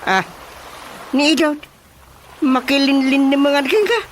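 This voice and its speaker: High-pitched, slow-talking baffled village chairman. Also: fairly even intonation, a bit bright, a little hiss or hum. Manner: exclamatory, questioning, easily baffled. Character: village chairman patiently hearing out baffling residents' complaints. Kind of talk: radio comedy drama acting.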